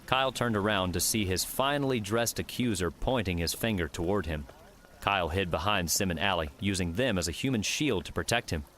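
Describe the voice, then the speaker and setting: Low-voiced man narrating an audiobook. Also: brisk, a bit bright, a little hiss or hum. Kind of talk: scripted fiction narration.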